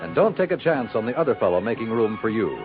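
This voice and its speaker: An American man reading scripted announcements in a formal, measured 1950s radio announcer style. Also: fairly low pitch, big pitch swings. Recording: tinny 1950s radio recording with music.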